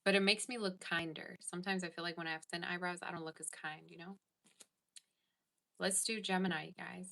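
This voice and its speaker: A woman's voice: fairly low pitch, fairly even intonation, brisk, gravelly, sharp tone. A woman giving a casual tarot reading to her viewers, chatting and answering comments as she goes. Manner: chatty, reads live chat aloud. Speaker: tarot reader.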